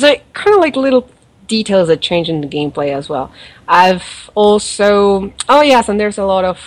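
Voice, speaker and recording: A woman with an accent, chatting casually on a podcast and rambling with fillers and laughs as she reviews a mobile game. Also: mid-range voice. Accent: non-native accent, origin not stated. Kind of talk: casual chat.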